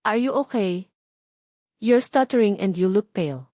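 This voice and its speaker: A woman's voice: medium pitch, lively intonation, unhurried, mellow, dry recording, clean studio sound, close to an everyday voice. Woman voicing protective, wealthy Filipino man. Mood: concerned. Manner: short caring questions, mixes English and Tagalog. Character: wealthy man, protective, concerned, generous lover.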